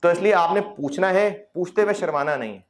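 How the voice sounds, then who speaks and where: Man, slightly high voice, classroom lecture, chatty and coaxing. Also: a little fast. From Pakistan. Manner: conversational, coaxing, teasing with mock quotes. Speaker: accounting lecturer. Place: classroom with students present.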